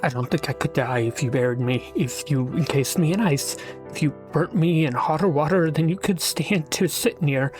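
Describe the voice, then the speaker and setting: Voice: medium pitch, darkish tone, breathy edge, lightly acted. A narrator acting a scornful, theatrical, bitter taunter. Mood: defiant. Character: scornful, bitter speaker who boasts of being unkillable.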